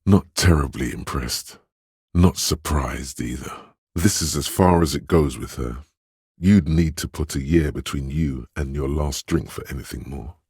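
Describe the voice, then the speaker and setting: An actor playing a smooth, sly inner voice of persuasion in a video game, narrating in a gravelly bass with a measured, insinuating delivery. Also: male voice, a little mellow, no echo, clean studio sound, full character voice. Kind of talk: scripted second-person game narration.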